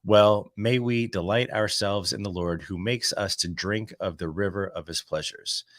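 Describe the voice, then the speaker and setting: A man who is under the weather with a cold, reading a daily devotional aloud. He pauses between passages to share relaxed, conversational thoughts. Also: on the low side, no echo, studio quality. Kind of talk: devotional reading with casual commentary.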